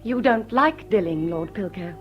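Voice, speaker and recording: An actress playing a gracious, charming society hostess in a period stage comedy, speaking politely and coaxingly with refined manners. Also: female voice, medium pitch, expressive, normal speed, dull audio, faint music behind, close to an everyday voice. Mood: coy. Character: gracious, charming society widow hosting guests, self-deprecating.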